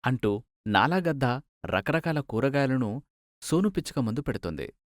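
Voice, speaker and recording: A man's voice: mid-range voice, very animated, moderate pace, no room sound, clean studio sound. A man narrating a scripted children's cartoon story as its storyteller.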